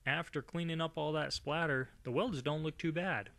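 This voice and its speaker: A man's voice: a little high, fairly even intonation, normal speed, creaky, fairly bright tone, dry recording. Casual man narrating a DIY review. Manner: informal, conversational.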